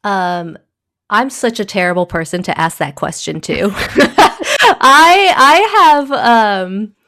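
A woman from Taiwan, a guest in a casual conversation, talking thoughtfully with many pauses, fillers and small laughs. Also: medium pitch, expressive, sharp tone, no echo, studio quality.

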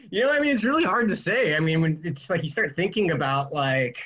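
A man's voice: high-pitched, expressive, normal speed, a bit bright, muffled recording. A man chatting casually as an interview guest, explaining things in an informal way. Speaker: interview guest from a music store.

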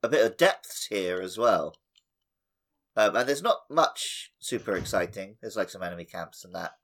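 A man's voice: fairly low pitch, expressive, moderate pace, a bit bright. A man co-hosting a podcast, talking casually about a video game. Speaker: podcast co-host.

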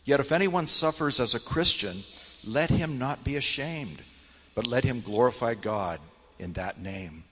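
A man reads scripture aloud as a church elder, solemnly and with measured, deliberate phrasing. His voice is husky. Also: mid-range voice, fairly bright tone, a little hiss or hum. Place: large church hall, speaking to congregation. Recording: long hall reverb.

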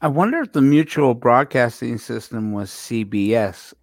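A man's voice: mid-range voice, expressive, moderate pace. A man co-hosting a radio show in casual conversation. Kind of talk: casual chat.

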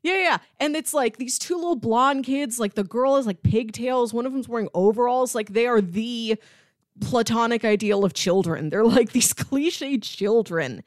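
A woman's voice: fairly high pitch, moderate pace, no echo, clean studio sound. A woman co-hosting a podcast, casually discussing films in an enthusiastic, analytical way with frequent emphasis. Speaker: podcast co-host.

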